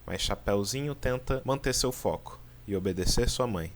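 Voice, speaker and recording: A man with a Brazilian accent gives casual explainer commentary, informal and pausing for drama. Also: on the low side. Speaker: video creator and narrator. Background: background music.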